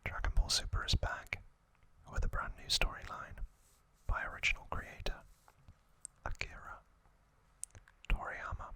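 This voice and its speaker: A man hosting an ASMR video, whispering softly and deliberately into a close microphone. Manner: slow, deliberate, soft whispering. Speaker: ASMR video creator and host.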